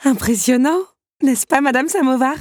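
A woman's voice: sits high, normal speed, darkish tone, no room sound, studio quality, voice pushed up. A woman voicing an eager, bookish young woman in a storybook reading. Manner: eager, enthusiastic.

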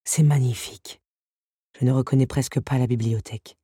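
A woman's voice: rumbling low, fairly even intonation, normal speed, dark tone, breathy edge, dry recording, clean studio sound, pitched below the performer's own voice, exaggerated voice. A woman voicing an awkward, kindly male beast who is master of a castle, in an audiobook story. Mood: gentle.

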